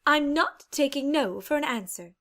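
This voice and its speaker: Woman doing a posh-mannered girly pony lady, high voice, genteel and caring, kinda theatrical, audiobook character. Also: animated, normal speed, bright, forceful tone, full character voice. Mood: fussily insistent. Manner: genteel, polite, theatrical phrasing. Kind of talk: dramatized audiobook character acting.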